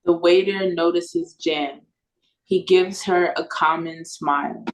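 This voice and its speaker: A woman's voice: on the low side, steady tone, slow pace, low-quality recording. A woman with an American accent reading stage directions as narrator at an audio play read-through. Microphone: video call.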